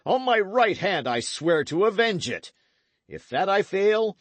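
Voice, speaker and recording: A man voicing a gruff, wrathful old knight in an audiobook, speaking in archaic, commanding tones. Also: medium pitch, no room sound, pitched above the performer's own voice. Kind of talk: audiobook character reading.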